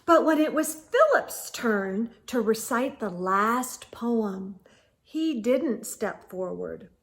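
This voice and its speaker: Lady doing storytime for kids, high voice, takes it slow, really expressive. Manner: deliberate, expressive. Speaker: children's storytime reader. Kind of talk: expressive read-aloud narration for children.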